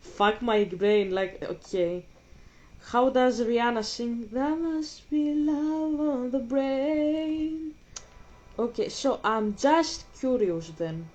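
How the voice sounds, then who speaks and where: A woman's voice: a little high, slow, muffled recording. A woman with a Greek accent, a native Greek speaker, giving blunt, swear-filled commentary while playing a video game. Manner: blunt, swears a lot, animated rants. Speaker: gaming vlogger. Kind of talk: casual gameplay commentary.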